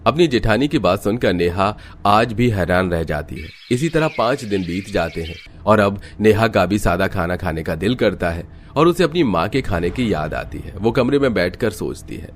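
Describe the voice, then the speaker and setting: Low-voiced man narrating a cartoon story. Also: lively intonation, moderate pace, hardly any pauses, slight background noise.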